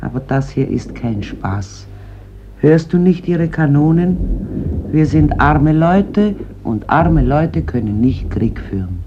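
A woman's voice: deep voice, big pitch swings, slow, full character voice. An actress playing a stern, reproachful middle-aged mother of a poor fishing family in a radio play. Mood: reproachful, weary. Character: stern, weary forty-year-old fisherman's mother, wary of war. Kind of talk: stage-like radio drama acting. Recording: muffled old radio recording, clear hiss.

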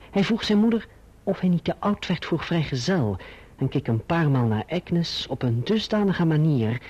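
An earnest young gentleman in an old radio drama, formal and literary in his phrasing. The voice is fairly high and husky, with lively intonation. The recording sounds dull and muffled. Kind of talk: radio play narration and dialogue.

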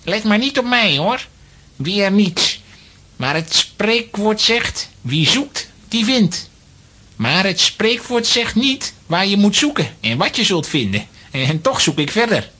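A slow, husky, sharp-edged voice plays an eccentric older gentleman lost in his fantasy. He rambles quaintly in a children's radio play, pitch moving freely. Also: big pitch swings, heavily acted. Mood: absorbed, muttering. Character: dotty eccentric older gentleman posing as a marquis. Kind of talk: children's audio drama acting.

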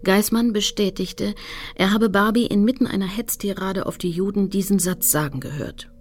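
An actress playing a determined female activist who recounts her campaign in sober, measured radio-play narration. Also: medium pitch, fairly even intonation, lightly acted. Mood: sober recounting. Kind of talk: scripted first-person narration.

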